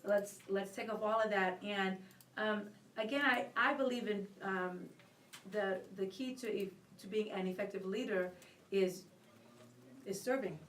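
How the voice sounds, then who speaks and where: A woman's voice: medium pitch, unhurried, a bit bright, a little hiss or hum. A woman co-hosting a live radio talk show, chatting and asking long, rambling questions and laughing easily. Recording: roomy and distant from the mic.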